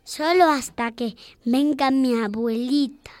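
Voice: high voice, slow pace. A performer playing a trusting, polite little schoolboy with a childlike voice in a radio drama. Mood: eager. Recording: music behind the voice.

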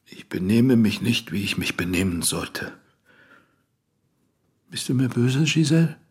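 A man's voice: medium pitch, expressive, measured pace, husky. An actor playing a troubled married man under suspicion, defensive, in an intimate radio drama. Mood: tipsy, pleading.

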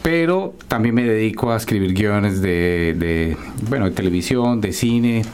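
A middle-aged Colombian man answering as a guest in a radio interview, halting and correcting himself as he talks. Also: lowish voice, expressive, darkish tone, a little hiss or hum. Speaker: guest, writer and journalist.

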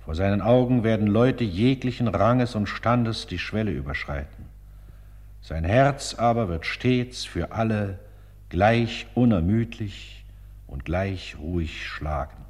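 Slow, solemn man in long reverb. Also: lowish voice, animated, a little mellow. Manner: solemn, declamatory. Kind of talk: solemn scripted radio narration.

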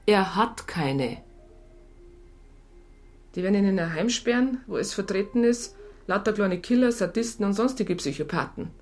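An actress playing a disillusioned police psychologist in a radio drama, blunt and sardonic. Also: female voice, medium pitch, animated, moderate pace, pauses often, darkish tone, natural voice. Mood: resigned, sardonic. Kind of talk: naturalistic radio drama dialogue.

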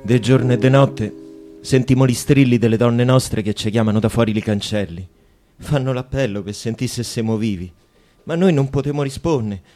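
Actor as mellow-voiced sombre Roman prisoner. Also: male voice, medium pitch, moderate pace, soft background music, lightly acted. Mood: sombre, resigned. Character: sombre young Roman prisoner longing for his mother. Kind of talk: radio theatre monologue.

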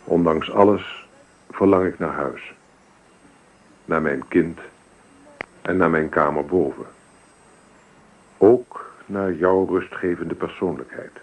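A remorseful husband in a radio play, with a low voice and slow delivery. He confesses in a measured, formal manner, as if reading a letter. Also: poor audio. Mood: sober, contrite. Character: remorseful unfaithful husband writing home to his wife. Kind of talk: letter read as radio drama monologue.

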